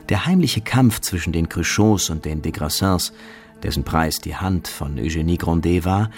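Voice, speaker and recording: Deep, creaky-voiced literary narrator. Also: male voice. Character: composed literary narrator of a period novel. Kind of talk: scripted narration in German radio drama.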